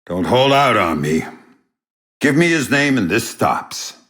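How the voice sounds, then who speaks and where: An actor playing a hardened, sardonic middle-aged covert operative in a video game, gruff and terse, with dry deadpan delivery. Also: male voice, medium pitch, measured pace, no echo, heavily acted. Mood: forceful, threatening. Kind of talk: video game character dialogue.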